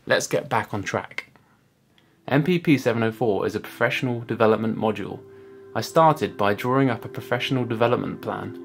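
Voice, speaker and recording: A man in his thirties presents his project in a scripted explainer style. His voice sits at an average male pitch. Soft guitar music plays faintly underneath as he speaks to camera in a room at home. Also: creaky. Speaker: master's student presenting a project. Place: small indoor room at home.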